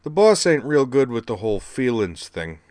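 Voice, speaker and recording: A man voicing a brash, streetwise young male robot who talks in slang, pitched well above his own low voice and louder. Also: very animated, normal speed, no room sound, close to an everyday voice. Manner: slangy, swaggering, loud.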